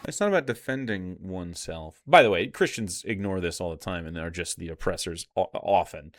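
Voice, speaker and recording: A man's voice: sits low, animated, brisk. A man giving casual, argumentative live commentary as a streamer, blunt and sarcastic, with plenty of swearing. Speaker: streamer reacting to a video.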